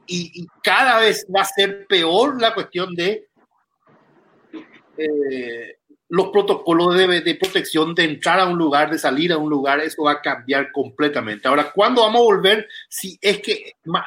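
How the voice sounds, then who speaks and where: A man in a casual panel discussion, speaking haltingly and repeating words as he thinks. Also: sits high, pushed and bright, rough recording.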